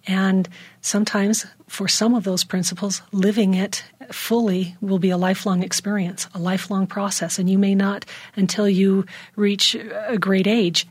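A woman on a discussion panel, speaking in a measured, heartfelt way with soft emphasis. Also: mid-range voice, husky, no room sound. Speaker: panel guest. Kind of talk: earnest discussion of faith.

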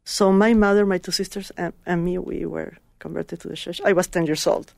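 Gravelly woman, earnest. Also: on the low side, fairly even intonation, brisk, dry recording. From Chile. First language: Spanish. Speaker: guest. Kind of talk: heartfelt personal testimony.

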